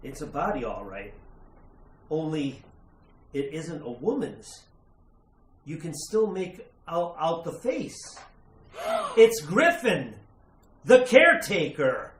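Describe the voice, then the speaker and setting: Man acting a halting, courteous judge in echo. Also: fairly high pitch, wildly swooping pitch, a little mellow, exaggerated voice. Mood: grim discovery. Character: unflappable, courteous judge, slightly fussy. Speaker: actor in a radio play. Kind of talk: retro radio horror acting. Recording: roomy, echoing sound.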